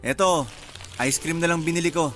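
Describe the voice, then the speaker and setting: Man acting easygoing young boyfriend, highish voice. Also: moderate pace, a bit bright, close to an everyday voice. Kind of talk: radio drama acting. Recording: music bed behind the voice.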